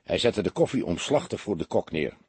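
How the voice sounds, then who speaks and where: A man narrating a crime audiobook in an expressive, scripted storytelling style. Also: low-pitched, a little fast, husky, dry recording.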